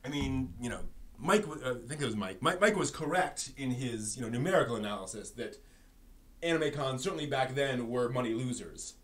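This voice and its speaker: A man hosting a live stream with casual, rambling commentary, often halting and repeating his words. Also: mid-range voice, lively intonation.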